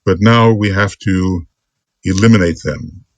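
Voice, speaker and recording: A man giving an extemporaneous spiritual lecture as a teacher, speaking earnestly in deliberate, halting phrases. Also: deep voice, fairly even intonation, no echo. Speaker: esoteric teacher.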